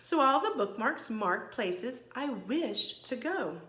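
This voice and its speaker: A woman voicing a calm, gracious old enchantress in a children's storybook, speaking in a measured, precise way. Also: a little high, echoey room, pitched above the performer's own voice, exaggerated voice. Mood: serene, explaining.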